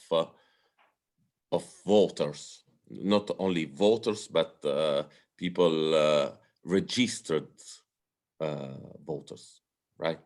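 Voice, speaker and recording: Halting low-voiced Italian-accented man. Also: darkish tone. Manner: hesitant, many fillers and restarts. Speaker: guest speaker on democracy. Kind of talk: lecture-style talk.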